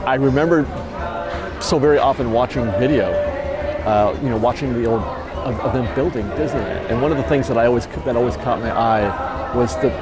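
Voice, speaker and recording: A man's voice: medium pitch, a little fast. A man vlogging outdoors at a theme park at dusk, talking in a reflective, rambling, sentimental way on a lapel mic. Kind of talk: casual walking vlog talk. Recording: outdoor crowd chatter behind.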